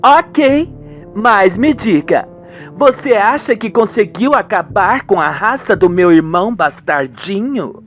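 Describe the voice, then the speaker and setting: A man voicing a scheming, ruthless villainess in a radio soap, imperious and deliberate. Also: high voice, animated, soft background music. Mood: gloating.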